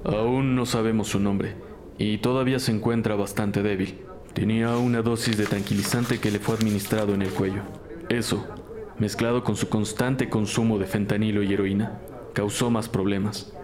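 An actor playing a polite, sober hospital doctor in a crime audio drama, speaking in a formal, clinical manner. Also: male voice, on the low side, steady tone, moderate pace, crowd noise, lightly acted. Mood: grave, clinical. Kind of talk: scripted crime drama acting. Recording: studio drama with music underneath.

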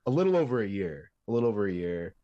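A man's voice: on the low side, big pitch swings, quick pace, dark tone, lo-fi sound. A young man chatting as a podcast guest, rambling with restarts and upbeat energy. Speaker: podcast guest, comic artist and animator. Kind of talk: casual chat.

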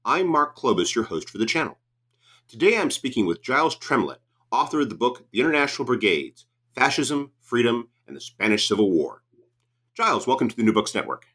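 A man's voice: mid-range voice, very animated, fairly quick, a bit bright. A man hosting a podcast, introducing and questioning a guest in a conversational interview style.

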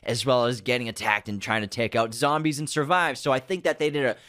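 A man's voice: medium pitch, fairly even intonation, fast, a bit bright. A man co-hosting a podcast, giving his opinions in casual discussion. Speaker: podcast co-host.

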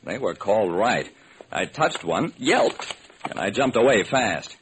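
An actor playing a wry, sharp-witted detective who narrates and acts in an old-time radio drama. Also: male voice, on the low side, lively intonation, unhurried. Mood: wry, narrating. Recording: noisy vintage radio recording.

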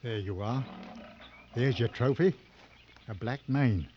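Actor as commanding, weary big game hunter, vintage radio. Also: male voice, mid-range voice, takes it slowly, lightly acted. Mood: musing, weary. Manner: terse, commanding. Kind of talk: 1940s-50s radio drama acting. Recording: dull vintage radio recording, faint noise.

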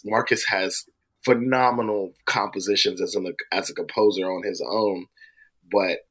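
Deep-voiced man chatting about music. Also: a bit bright, low-quality recording. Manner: musician talking shop, laughs often. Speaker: podcast co-host. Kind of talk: casual chat.

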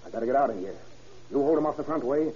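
An actor playing a tough, wary gangster on the run in an old crime radio drama, talking in clipped slang. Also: male voice, medium pitch, lively intonation, rapid-fire, warm tone, lo-fi sound, lightly acted. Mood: suspicious, urgent.

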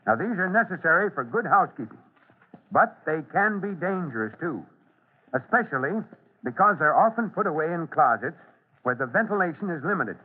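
A man's voice: fairly high pitch, very animated, breathy edge, phone-quality sound. Authoritative male fire chief, old radio. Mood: instructive, earnest. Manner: deliberate, instructive, formal. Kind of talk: old-time radio public safety address. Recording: thin, band-limited old radio recording.

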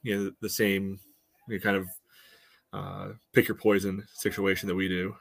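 A man co-hosting a podcast, giving casual soccer analysis in a halting way padded with filler phrases. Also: sits low, level tone, vocal fry. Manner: halting, peppers speech with "you know". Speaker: podcast co-host.

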